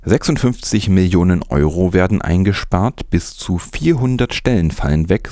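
Male news host, low and warm voice, dry close recording, scripted delivery with commentary. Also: no room sound.

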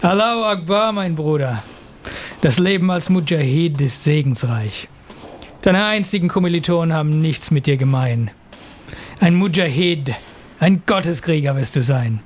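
A man's voice: a little high, lively intonation, moderate pace, a little hiss or hum, lightly acted. A man playing a fanatical Islamist recruiter in an audio drama, preaching in a solemn, declamatory way. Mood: solemn, promising. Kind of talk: scripted audio drama monologue.

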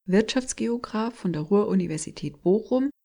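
A woman hosting a radio show, reading calm and clear summaries and introductions. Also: mid-range voice, moderate pace. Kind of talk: scripted radio moderation.

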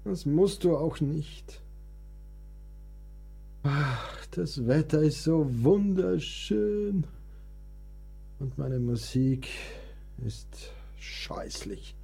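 An actor in a radio play, playing a frail, melancholic composer who is weary, plaintive and self-pitying. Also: male voice, a little high, very slow, gravelly, long pauses, mellow, breathy edge, soft background music, exaggerated voice.

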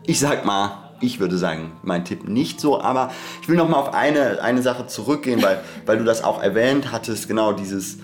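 A man's voice: lowish voice, normal speed, hardly any pauses. A man hosting an analytical podcast conversation, thinking aloud and often rephrasing himself as he goes. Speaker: podcast host.

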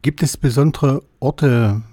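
A man interviewing a guest for a radio program, asking questions calmly and plainly. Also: medium pitch, takes it slowly, slightly rough, no echo, studio quality.

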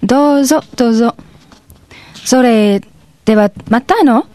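A woman acts out a polite Japanese neighbour in a live radio play. Her voice is high and closely recorded, with no room sound. She speaks in short, persistent phrases with a Japanese accent. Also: a little fast, dark tone, no echo, natural voice. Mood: polite, helpful. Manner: short polite phrases, eager affirming interjections.

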